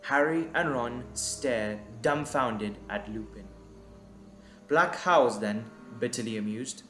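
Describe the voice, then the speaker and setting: A man reading scripted dramatic narration in a deliberate, suspenseful manner. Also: medium pitch, sharp tone. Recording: echoey, music underneath. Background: music.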